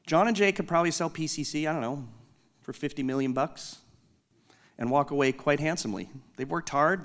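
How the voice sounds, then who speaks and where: A man with a loud voice giving an unscripted conference keynote from a stage, candid and self-deprecating with joking asides. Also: medium pitch. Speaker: company executive giving a keynote.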